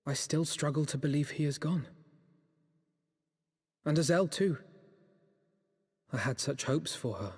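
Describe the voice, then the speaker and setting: Husky, sombre young hero. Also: male voice, fairly high pitch, lively intonation, a little slow, long pauses, a little mellow, long reverb tail. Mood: wistful, sombre. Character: weary, reflective adult male adventurer, quietly grieving. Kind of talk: dramatic game voice acting.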